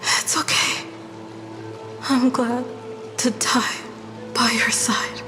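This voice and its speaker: An actress voicing a gentle, fading young woman poet in a fantasy game, breathy, weak and halting. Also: a little high, flat delivery. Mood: weak, loving. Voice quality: breathy, whispery. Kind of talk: dramatic scripted game voice acting. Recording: music and rain behind.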